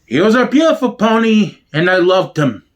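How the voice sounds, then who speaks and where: A man's voice: high-pitched, big pitch swings, moderate pace. A man voicing an elderly, easily offended immigrant woman from Poland in a sitcom reading, wounded and emphatic. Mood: wistful.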